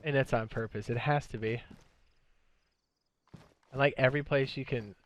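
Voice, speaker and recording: A man's voice: medium pitch, normal speed, a little hiss or hum, low-quality recording. Chatty male game streamer. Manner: relaxed, chatty, thinks aloud while playing. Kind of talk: casual live gameplay commentary.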